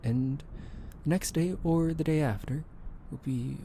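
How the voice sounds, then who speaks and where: A young man talks close to the mic in a soothing, teasing ASMR roleplay, slow and unhurried. His voice is warm and mellow with a gravelly edge and an occasional soft whisper. A faint hiss sits behind him. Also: on the high side. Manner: gentle, teasing, soothing, with soft laughs and hesitant fillers. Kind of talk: soft ASMR roleplay, boyfriend comforting listener.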